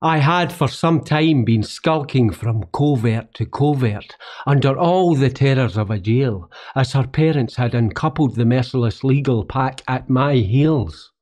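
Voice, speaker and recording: An actor playing a wry, roguish young Scottish poet, telling his own life in literary, measured monologue for a radio play. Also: medium pitch, darkish tone, natural voice. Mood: wry, rueful. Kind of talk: first-person radio play monologue with folk songs.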